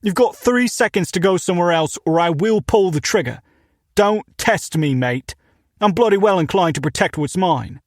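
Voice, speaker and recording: A man voicing a hostile, armed male store owner with a British accent, talking loudly and threateningly. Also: sits high, lively intonation, normal speed, no echo, clean studio sound, voice pushed up. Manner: loud, threatening. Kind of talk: audiobook character voice.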